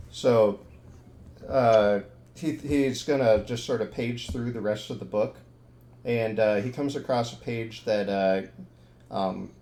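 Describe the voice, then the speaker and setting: A man's voice: lowish voice, a little mellow. A man narrating a tabletop horror game as game master, easygoing and rambling, full of ums and restarts.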